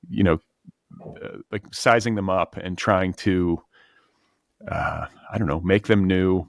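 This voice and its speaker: Deep-voiced man hosting a podcast. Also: vocal fry, dry recording, studio quality. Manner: tentative, discursive, conversational. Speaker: podcast host. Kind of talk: casual literary interview.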